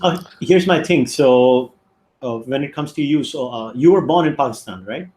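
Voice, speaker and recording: A man's voice: mid-range voice, normal speed, a little mellow. A man hosting a podcast, asking long, winding questions in a loose, conversational way. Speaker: podcast host. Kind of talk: casual interview chat. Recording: echoey room.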